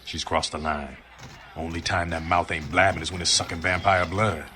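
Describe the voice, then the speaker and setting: An actor playing a blunt, wary, streetwise vampire war veteran in a video game, talking in gruff street slang. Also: male voice, sits low, little pitch movement, normal speed, pushed and bright, a little hiss or hum. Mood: irritated, conspiratorial. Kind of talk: video game character dialogue. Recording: game music behind the voice.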